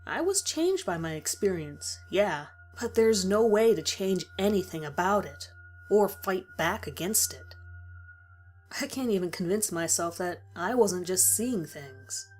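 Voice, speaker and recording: Female horror narrator, lower register, dramatic first-person scripted read, faint music underneath. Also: lively intonation, measured pace, a little mellow. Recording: music behind the voice.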